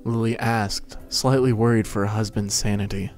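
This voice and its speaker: A man's voice: fairly low pitch, steady tone, moderate pace, darkish tone, faint music behind. A man reading scripted fiction as a narrator in a dramatic reading.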